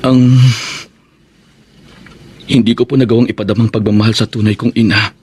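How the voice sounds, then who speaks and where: An actor with a Filipino accent playing a repentant, devoted grown son in a radio drama, solemn, respectful and sincere. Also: male voice, fairly low pitch, fairly even intonation, moderate pace, a little mellow, slight background noise, close to an everyday voice. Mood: tender.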